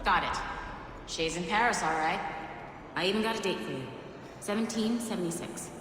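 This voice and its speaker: An actress playing a brisk, sardonic tech researcher in a video game, casual and wry, in a very echoey space. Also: female voice, on the low side, a crowd in the background, natural voice. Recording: very echoey, reverberant hall.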